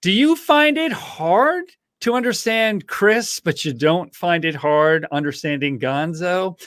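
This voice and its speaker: Lively-voiced man hosting a live chat. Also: high voice, takes it slowly. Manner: playful, asks questions and jokes with the chat. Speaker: host of a fan podcast. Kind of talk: casual live-stream interview.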